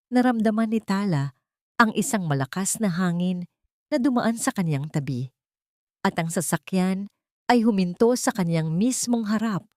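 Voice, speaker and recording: A woman's voice: medium pitch, a little mellow, no room sound, clean studio sound. A woman reading a dramatic scripted story as its narrator.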